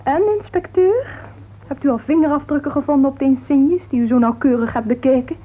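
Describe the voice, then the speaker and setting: An actress plays a self-assured society woman in an old radio drama. Her voice is on the high side, arch and drily mocking. The sound is thin and phone-like. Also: a little fast, lightly acted. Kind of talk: Dutch radio play acting. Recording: tinny old radio recording.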